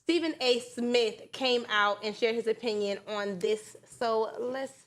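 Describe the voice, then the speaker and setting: A woman's voice: on the high side, measured pace. A woman hosting a talk show, giving conversational news commentary in a sassy, emphatic, colloquial way.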